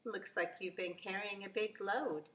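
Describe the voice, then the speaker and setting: A woman voicing a kind, calm, fatherly woodcarver in a children's storybook, asking things gently and patiently. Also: medium pitch, a little slow, close to an everyday voice. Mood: kind, questioning. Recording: echoey room.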